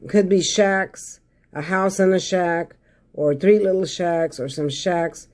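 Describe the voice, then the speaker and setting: Man, hoarse, high pitch, mellow tone, casual tarot reader, keeps checking in with viewers. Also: steady tone, takes it slowly. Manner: repeats phrases, checks in with frequent "okay?".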